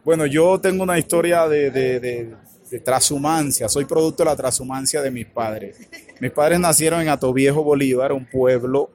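A man with a Colombian Caribbean accent, answering interview questions in a halting, thoughtful way with many filler words. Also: medium pitch, a little mellow. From Colombia's Caribbean region. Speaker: author and academic guest. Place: radio booth at a book fair stand.

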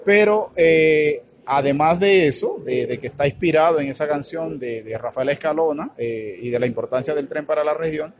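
A man with a Colombian Caribbean accent, answering interview questions in a halting, thoughtful way with many filler words. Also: fairly high pitch, a little hiss or hum. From Colombia's Caribbean region. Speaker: author and academic guest. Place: radio booth at a book fair stand.

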